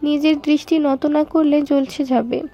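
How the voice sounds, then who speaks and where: A woman's voice: high voice, flat delivery, normal speed, warm tone, highs cut off, soft background music. A woman reading scripted story narration.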